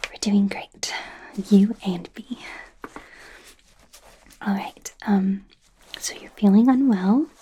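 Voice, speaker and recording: Slow, breathy whispering woman as a nervous werewolf doctor. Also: mid-range voice, dark tone. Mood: anxious, soothing. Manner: hesitant, rambling, self-deprecating. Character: nervous, awkward, apologetic female werewolf doctor. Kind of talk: whispered ASMR roleplay.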